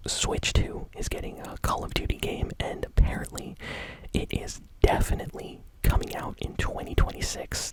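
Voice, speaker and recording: Whispering ASMR gaming news host. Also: poor audio. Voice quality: soft unvoiced whisper throughout.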